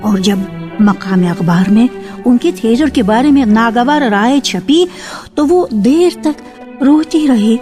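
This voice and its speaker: A woman narrating a literary radio drama in an expressive, deliberate storytelling style, lingering on key words. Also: on the high side. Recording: archival radio broadcast with faint music. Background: faint music.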